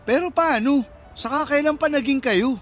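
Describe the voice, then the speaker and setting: A dramatic young best friend in a radio romance. His voice is extremely high for a man. He is indignant and easily hurt, over faint music. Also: moderate pace, a bit bright. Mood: shocked, sulky. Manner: indignant, exaggerated questioning.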